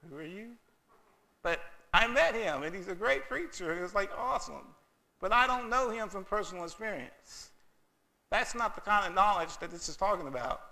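A man's voice: sits high, sharp tone. A man preaching a sermon in a church, earnest and emphatic, often acting out quoted voices. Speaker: pastor. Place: church sanctuary.

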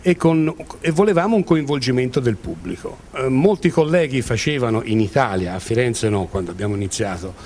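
A middle-pitched man as an interviewee from a theatre company. He answers informally and repeats words while searching for the next one. A handheld microphone picks up some faint ambient noise. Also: animated, brisk, few pauses, a little mellow. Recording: clearly noisy room ambience.

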